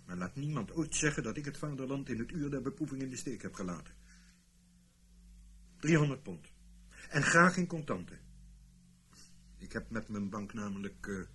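A man's voice: mid-range voice, expressive, moderate pace, long pauses, sharp tone. A man acting in a Dutch radio play as a wry, grumbling hired impersonator with a theatrical way of talking. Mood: wry, bargaining. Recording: noisy radio play recording.

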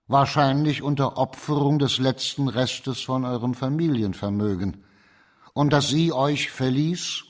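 Composed magistrate, medium-pitched, formal, methodical, explanatory, shrewd, radio drama. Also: male voice. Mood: calm, probing. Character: composed, shrewd male magistrate laying out a case. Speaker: actor in a radio crime drama. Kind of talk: German radio play acting.

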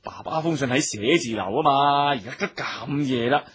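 A man's voice: mid-range voice, moderate pace, fairly bright tone, slightly rough. A man acting an evasive, tipsy husband in a Cantonese radio drama, sighing and wheedling with his wife.

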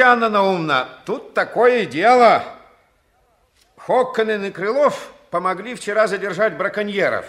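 High-voiced, formal school director. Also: male voice, fairly bright tone. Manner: formal, authoritative. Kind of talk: 1960s Soviet radio play acting.

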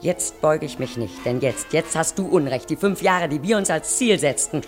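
A woman acting a weary, pleading working-class wife in a 1950s German radio drama, with earnest, deliberate phrasing. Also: low voice, animated. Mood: pleading, defiant. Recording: 1953 radio recording, faint music behind.

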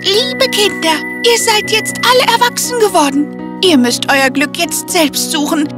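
A woman's voice: very high voice, unhurried, over music, voice pushed up. A woman voicing a caring but firm mother pig in a children's storybook tale. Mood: solemn, urging.

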